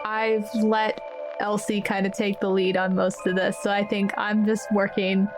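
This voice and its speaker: A woman's voice: mid-range voice, little pitch movement, unhurried, over music. A woman chatting casually as a player in a tabletop game. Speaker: player on actual play podcast. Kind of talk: casual chat.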